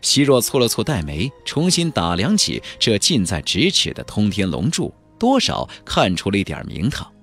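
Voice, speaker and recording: A man narrating a fantasy audiobook in a measured, theatrical storytelling delivery. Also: lowish voice, huge pitch swings, a bit bright, slightly rough, soft background music. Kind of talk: dramatic scripted narration of a fantasy novel.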